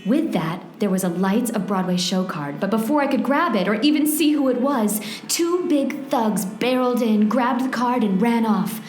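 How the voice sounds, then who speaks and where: A woman's voice: a little high, normal speed, hardly any pauses, darkish tone, close to an everyday voice. An actress playing an earnest, urgent woman pleading with a detective in a comedic noir radio play, with dramatic delivery. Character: urgent, pleading female visitor in a noir mystery. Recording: echoey room, clear background noise.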